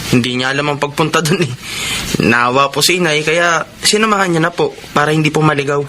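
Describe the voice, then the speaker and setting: An actor playing a dutiful young adult son in a radio drama, speaking politely and earnestly. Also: male voice, fairly high pitch, fairly quick, natural voice. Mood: respectful. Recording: very noisy track with outdoor ambience.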